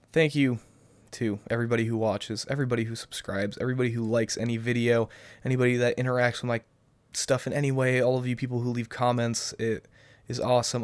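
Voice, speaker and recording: A man's voice: mid-range voice, no room sound. A young man answering viewer questions to his webcam, rambling and hesitant, his voice still a little off from the flu. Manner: rambling and hesitant, many restarts and ums. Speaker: gaming YouTuber. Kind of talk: casual Q&A talking to camera. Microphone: cheap webcam.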